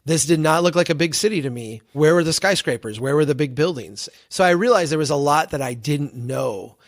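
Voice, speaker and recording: Higher-pitched American man explaining ideas. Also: animated, brisk. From small town in central Minnesota, US. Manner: reflective, explains with rhetorical questions and examples. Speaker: podcast guest, engineer and planner. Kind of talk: explanatory interview talk with anecdotes.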